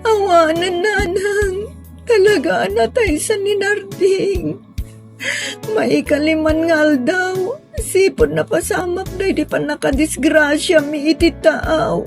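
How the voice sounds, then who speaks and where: Woman acting plaintive, high-voiced young daughter in melodrama. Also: slow, faint music behind, full character voice. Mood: distraught. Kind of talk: sentimental audio drama acting.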